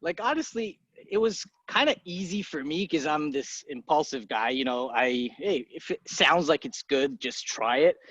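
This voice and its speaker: A man in his thirties from Egypt, a podcast guest talking casually and bluntly, swearing freely and tossing off quick asides. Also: fairly high pitch, lively intonation, a bit bright, husky, no echo. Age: 30s. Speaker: guest, entrepreneur. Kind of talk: casual interview talk. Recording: dry muffled podcast audio.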